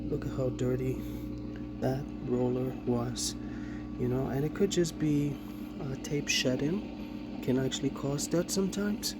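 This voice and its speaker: A man casually narrating a hands-on demonstration and repair of vintage gear, thinking aloud as he explains. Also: medium pitch. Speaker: vintage tech presenter.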